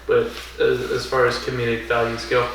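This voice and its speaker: A man's voice: medium pitch, monotone, normal speed, gravelly, fairly bright tone, slight background noise. A man in his early twenties chatting casually as co-host, asking curious questions and laughing often. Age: 20s. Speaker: podcast co-host. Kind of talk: casual chat. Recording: echoey room, distant mic, faint ambience.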